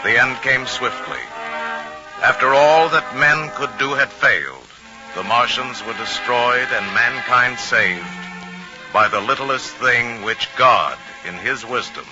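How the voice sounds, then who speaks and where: A man's voice: medium pitch, takes it slowly, close to an everyday voice. An actor playing a solemn, reverent male narrator in a 1950s radio drama about alien invasion. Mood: grave, reverent. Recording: muffled old radio recording, music behind.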